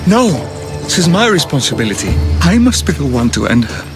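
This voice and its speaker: An earnest, expressive young healer. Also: male voice, on the high side, brisk, over music. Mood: torn, resolute. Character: earnest, troubled young Greek healer, gentle grandson. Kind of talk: video game cutscene dialogue.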